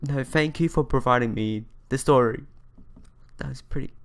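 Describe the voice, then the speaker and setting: A man's voice: mid-range voice, moderate pace. A young man playing a visual novel for viewers, reading aloud and commenting in an informal, earnest way. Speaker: let's player. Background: game music.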